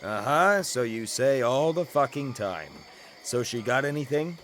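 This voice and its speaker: A man's voice: medium pitch, expressive, a little slow, a bit bright, a little hiss or hum. A man playing a groggy, hard-drinking fantasy adventurer, blunt and sarcastic, in an audio drama. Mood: sardonic.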